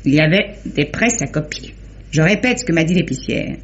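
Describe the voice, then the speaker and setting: An actress playing a shrewd, practical inspector's wife who sleuths, in a 1950s French radio mystery. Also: female voice, sits low, expressive, a little fast. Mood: matter-of-fact. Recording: dull vintage radio recording.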